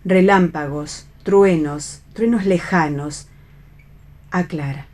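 A woman's voice: fairly low pitch, slow, a little mellow. A woman narrating a radio play, reading stage directions as scripted narration.

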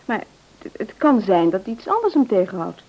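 A woman's voice: mid-range voice, sing-song, normal speed, darkish tone, rough recording. A woman playing a dutiful, eager grown-up daughter in a radio play, chatty and polite with some hesitancy. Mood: earnest, hesitant. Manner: chatty, polite, hesitant when asking favours. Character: Annie, dutiful, eager married adult daughter.

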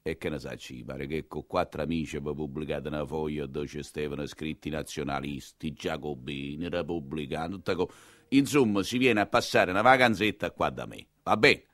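A man's voice: very deep voice, brisk, a bit bright, dry recording, voice pushed down. A man playing a pompous, drunken old cardinal, grumbling and scheming in a stage monologue. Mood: grumbling, confiding. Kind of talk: theatrical monologue dialogue.

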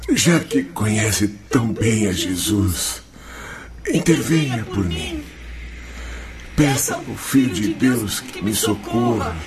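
A man's voice: medium pitch, distant mic. An actor playing the anguished, desperate spirit of a dead man in a radio drama, wailing and pleading theatrically. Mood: broken pleading. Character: anguished spirit of a male suicide, desperate and broken. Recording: dramatic music behind the voice.

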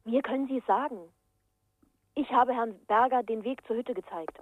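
A woman's voice: on the high side, normal speed. A woman playing an urgent, pleading caller in a radio crime drama, insistent and questioning through a telephone filter. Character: urgent, pleading female caller seeking help. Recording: thin, tinny phone line.

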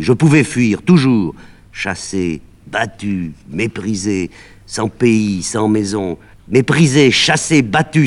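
A man reading a play aloud, voicing a proud, anguished sorceress woman in an impassioned, declamatory way that swings from cries to hushed pleading. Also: a bass voice, breathy edge, heavily acted.

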